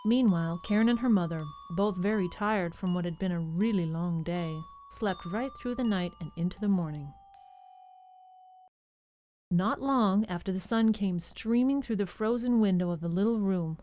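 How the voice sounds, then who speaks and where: A woman tells a scripted story for young listeners. Her mid-range voice is measured, with dramatic pauses, and music plays behind her. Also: mid-range voice, mellow. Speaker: narrator.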